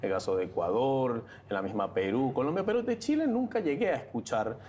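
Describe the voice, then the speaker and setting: A man's voice: medium pitch, sing-song, a little fast, a little mellow, a little hiss or hum. A man hosting a televised interview and debate, framing questions in a conversational way and thinking aloud as he goes. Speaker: TV interview host.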